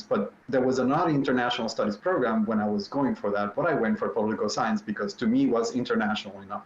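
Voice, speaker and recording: A man in his fifties with a Puerto Rican Spanish accent speaks in a relaxed, storytelling way and fills pauses with ums. His voice sits at an ordinary pitch for a man. It sounds a little dull and roomy, as if picked up in a room at home over a video call. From Puerto Rico. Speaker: panelist. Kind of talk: virtual panel talk. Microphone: video call mic.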